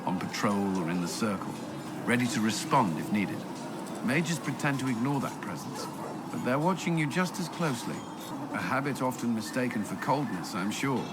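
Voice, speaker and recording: An actor playing an earnest, reserved military commander and former templar knight in a video game, speaking in a formal, measured way. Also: male voice, medium pitch, expressive, close to an everyday voice. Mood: measured, instructive. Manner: formal, measured, awkwardly hesitant when flustered. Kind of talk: video game character dialogue. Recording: loud music behind the voice. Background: music.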